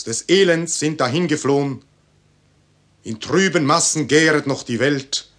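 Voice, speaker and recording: An actor playing a solemn prologue speaker, reciting classical German verse in a stately, declamatory manner. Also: male voice, on the high side, fairly bright tone, full character voice.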